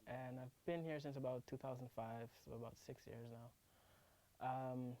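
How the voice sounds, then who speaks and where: Mellow, flat-voiced young man. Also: medium pitch, unhurried, rough recording. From Trinidad. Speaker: music student. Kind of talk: interview answers.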